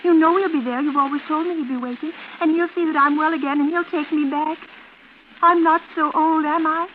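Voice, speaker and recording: An actress playing a fragile, childlike, unstable twin sister in an old-time radio thriller, plaintive and anxious in manner. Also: female voice, high-pitched, steady tone, fairly quick, lightly acted. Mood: wistful. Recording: noisy, tinny vintage radio broadcast.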